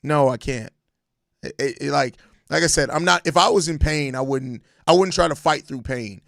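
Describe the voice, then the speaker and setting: An American man with a hoarse, raspy voice worn out from overuse, chatting casually and emphatically in slang as a solo sports podcast host. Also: on the high side, normal speed, fairly bright tone, dry recording, clean studio sound. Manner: slangy, emphatic, repeats phrases. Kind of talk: casual live-stream sports chat answering viewer comments.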